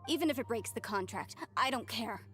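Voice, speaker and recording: A woman's voice: on the high side, animated, a little fast, a bit bright, faint music behind. An actress playing a fervent, devout young woman in a video game, earnest and dramatic in her delivery. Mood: resolute, devout.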